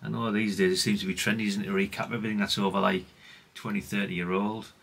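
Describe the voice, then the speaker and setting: Gravelly, low-voiced British man rambling casually. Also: level tone, quick pace, a bit bright. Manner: rambling, chatty, plain-spoken, tag questions. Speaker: hobbyist radio repair vlogger. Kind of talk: casual unscripted workbench commentary.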